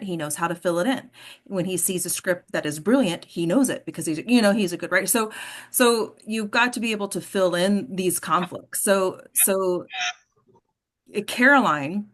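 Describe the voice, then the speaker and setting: A woman acting coach hosting a casual discussion, explaining with emphasis and prompting the group with questions. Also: mid-range voice.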